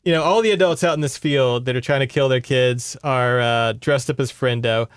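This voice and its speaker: A man's voice: medium pitch, normal speed, clear, resonant tone, no room sound, studio quality. A man hosting a podcast, giving a casual, rambling, sweary book report in conversation. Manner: informal, sweary, rambling storytelling.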